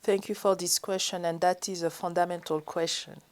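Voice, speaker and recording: A woman's voice: fairly low pitch, steady tone, normal speed. A woman from the Democratic Republic of the Congo, answering interview questions in an earnest, explanatory way, listing points one by one. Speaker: energy regulator and professor, guest.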